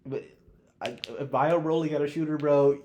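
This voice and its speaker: A man's voice: fairly high pitch, steady tone, moderate pace, darkish tone, a little hiss or hum. A young man co-hosting a podcast, chatting casually and giving opinions in emphatic, profane slang. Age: young adult. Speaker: podcast co-host. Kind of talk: casual chat, opinionated film ranking.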